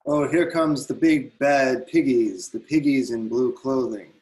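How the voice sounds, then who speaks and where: A man's voice: mid-range voice, a little slow, darkish tone, natural voice, poor audio. A man voicing a rowdy, defiant man who taunts police officers in a script reading. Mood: taunting. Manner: mocking, belligerent taunts. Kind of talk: readers theatre script reading.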